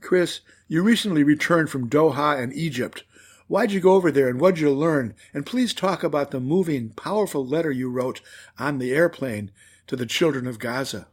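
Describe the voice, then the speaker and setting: A man hosting a talk show, reading a measured opening and introducing his guest. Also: fairly high pitch, breathy edge. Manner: measured, read-aloud delivery. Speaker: radio show host. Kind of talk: scripted commentary and interview intro.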